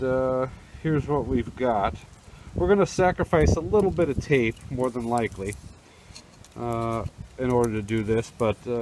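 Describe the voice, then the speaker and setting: A man giving a casual, easygoing step-by-step tutorial through a face mask, recorded outdoors on a rooftop. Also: mid-range voice. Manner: informal, easygoing step-by-step talk. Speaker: host demonstrating a how-to restoration. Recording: noisy outdoor camera audio.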